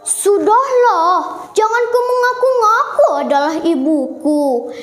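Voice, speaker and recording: A haughty young merchant son from a folk tale, acted by a young girl. The voice is very high and theatrical, with slow, stretched words. The room echoes around it. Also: lively intonation, a little hiss or hum, full character voice. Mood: scornful. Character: arrogant young merchant son who disowns his mother.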